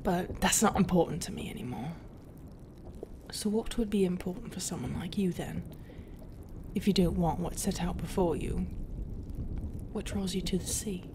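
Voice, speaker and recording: A woman playing a flustered but tender pirate captain in an ASMR roleplay, halting and often dropping to a half-whisper. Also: fairly low pitch, background noise, lightly acted. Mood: soft, wistful. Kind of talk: ASMR roleplay, soft intimate acting. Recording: steady ambient sound effects behind voice.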